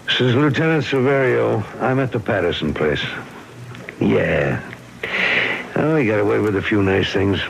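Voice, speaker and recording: An actor playing a businesslike, matter-of-fact police lieutenant in a radio drama. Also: male voice, on the low side, animated, moderate pace, vocal fry, pauses often. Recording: slightly noisy old radio broadcast.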